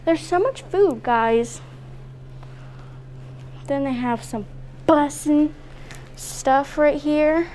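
A woman's voice: sits high, dark tone, a little hiss or hum. A woman vlogging and asking interview questions in a casual, chatty style.

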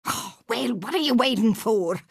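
A woman's voice: a little high, animated, moderate pace, gravelly, husky, no echo, studio quality, exaggerated voice. A woman voicing a crotchety, scheming old witch for a video game, with a Scottish accent and a theatrical, scolding, mock-sweet manner. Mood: grumbling, menacing. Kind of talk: animated video game voice acting.

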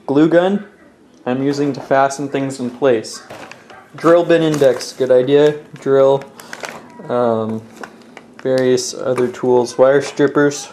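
A young man with a medium-pitched voice walks through a homemade project in an informal way. He thinks aloud as he goes, a little hesitant, like an amateur tutorial presenter. He is recorded indoors at home, close to a handheld camera. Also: fairly even intonation, slow pace, darkish tone, a little hiss or hum. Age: young adult. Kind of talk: casual how-to explanation. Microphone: handheld camera at close range.

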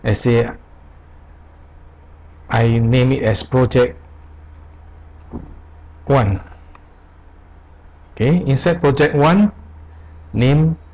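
A man presenting an unscripted software tutorial, explaining step by step in short, halting phrases. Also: medium pitch. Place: voice-over on a screen recording.

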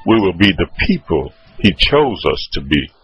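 A man narrating a documentary in a solemn, measured scripted voice. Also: low-pitched, big pitch swings, slight background noise. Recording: muffled voice-over under loud music.

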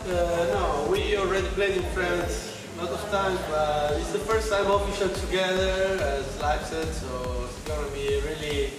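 Laid-back twenty-something guy from argentina, bit high voice, far off in a boomy room with loud music going. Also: steady tone, measured pace, hardly any pauses. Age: 20s. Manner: laid-back, laughs easily, loose slangy phrasing. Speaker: DJ and producer. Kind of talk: casual interview answers in English. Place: small dark room at a club. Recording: echoey room, distant mic, loud music behind.

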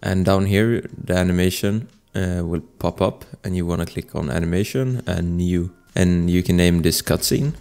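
A man presenting a casual software tutorial as a voice-over, explaining informally step by step into a close microphone. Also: sits low, normal speed, creaky, few pauses. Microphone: close desktop microphone voice-over. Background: music.

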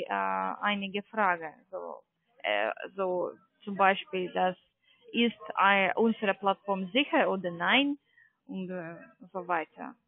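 A man, a non-native German speaker with a foreign accent, working as a call centre agent and haltingly searching for words. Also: sits high, gravelly, no echo. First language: not German. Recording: narrow phone-line sound.

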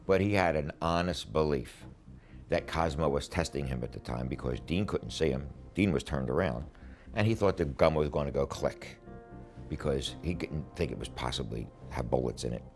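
A man's voice: rumbling low, moderate pace, soft background music. A middle-aged man, a defense attorney, speaking in an indoor interview. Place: indoor room, seated interview.

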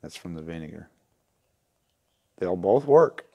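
A man in his fifties with a fairly low voice explains a hands-on repair. He is plainspoken and casual, taking things step by step as an instructor at his workbench. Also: animated, measured pace, creaky, muffled recording. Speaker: instructor demonstrating lantern repair. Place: indoor wooden workshop at a workbench.

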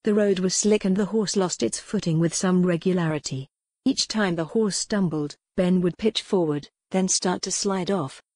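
A woman's voice: fairly low pitch, normal speed, darkish tone, dry recording, clean studio sound. A woman narrating a historical novel as scripted dramatic audiobook fiction.

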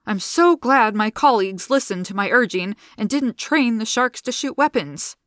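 A woman's voice: a little high, lively intonation, moderate pace, fairly bright tone, dry recording, studio quality. A woman voicing a skinny, fretful older male scientist with a mournful, fussy way of talking.

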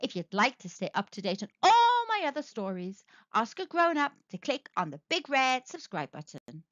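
A high, bright woman's voice narrates a children's read-aloud story. She is very animated in her storytelling. The recording is dry and a little dull. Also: normal speed, dry recording.

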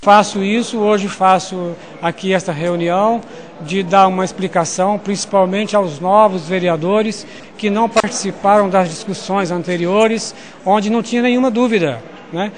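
A man's voice: high voice, moderate pace. An older man in his sixties with a Brazilian Portuguese accent, explaining a plan in an assured way in a council chamber into a reporter's handheld microphone. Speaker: council president. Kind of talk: interview answer. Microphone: handheld reporter mic.

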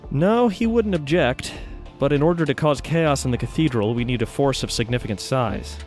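A determined guild mage played by a man schemes aloud in an audio drama. His pitch is medium, with faint music behind him. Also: lively intonation, moderate pace, a little mellow, lightly acted. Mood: grave.

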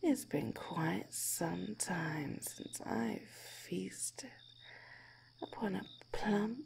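A woman voicing a cruel, hungry giant snake woman in a teasing ASMR roleplay, breathy and whispery, savoring and gloating. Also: medium pitch, darkish tone, heavily acted. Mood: menacing, teasing. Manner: slow, teasing, gloating, savoring each phrase.

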